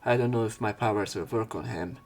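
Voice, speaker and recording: A man's voice: fairly low pitch, a little fast. A man narrating a visual novel as a let's player, reading the story and lines aloud.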